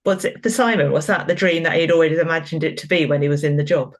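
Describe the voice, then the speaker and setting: A female podcast host in a conversational interview. She talks in a chatty way and circles around her questions before landing them, with a mid-range pitch. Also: fast.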